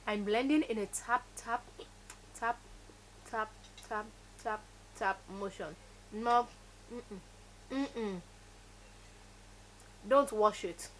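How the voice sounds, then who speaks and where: A woman's voice: fairly high pitch, slow pace, long pauses, a little hiss or hum. Woman teaching makeup. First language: Yoruba. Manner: instructive, emphatic, repeats key words. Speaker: makeup tutorial host. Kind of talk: step-by-step beauty tutorial.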